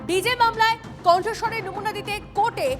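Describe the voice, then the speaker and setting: Female TV news anchor, extremely high pitch, fast delivery. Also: steady tone. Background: news music bed.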